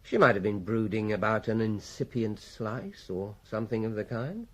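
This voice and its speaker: Dry, low-voiced British police inspector. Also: male voice, expressive, normal speed. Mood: dry, probing. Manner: understated, questioning, dryly witty. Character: dry, probing male police inspector on a murder case. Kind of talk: radio drama dialogue.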